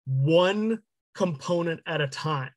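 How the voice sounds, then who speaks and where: A man's voice: on the high side, takes it slowly, dry recording. A middle-aged man giving a candid interview account over a video call from a small room. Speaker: radio traffic reporter being interviewed. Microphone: video call with headset.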